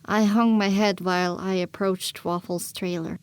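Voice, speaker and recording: A woman narrating a first-person story as an audiobook, expressive and dramatic, on a close microphone. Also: fairly low pitch, moderate pace, smooth, no room sound.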